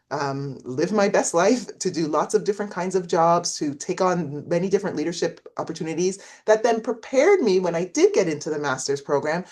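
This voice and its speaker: A woman's voice: lowish voice, darkish tone. A middle-aged woman, a professor, talking in an interview in a reflective storytelling way. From Canada. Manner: reflective, storytelling, acts out quoted voices. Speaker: guest, professor. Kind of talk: interview conversation.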